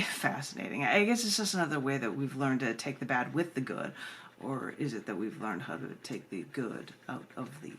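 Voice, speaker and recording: Low-voiced woman poet, noisy recording. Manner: informal, chatty delivery with asides. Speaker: poet giving a reading. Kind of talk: conversational poetry reading on a livestream. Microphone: compact camera microphone.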